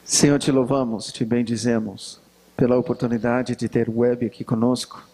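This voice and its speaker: A man of middle pitch who leads a spoken prayer at a church conference. He speaks earnestly and steadily, blessing the guest preacher. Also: little pitch movement, normal speed, dark tone, low-quality recording. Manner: earnest, praying aloud. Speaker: church leader leading prayer.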